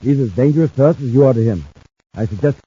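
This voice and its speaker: An actor playing an evasive, shifty businessman in a mid-century radio serial, speaking in a guarded way with a husky, dark voice. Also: mid-range voice, expressive. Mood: cold, scheming. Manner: halting, guarded. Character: evasive, shifty businessman sheltering his fugitive brother. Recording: muffled old radio broadcast.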